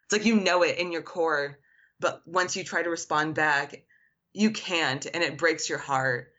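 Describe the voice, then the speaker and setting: A woman's voice: low-pitched, unhurried, a bit bright, dull audio. A woman artist whose first language is Arabic, answering interview questions in an earnest, reflective way, with frequent "like" fillers. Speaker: artist guest.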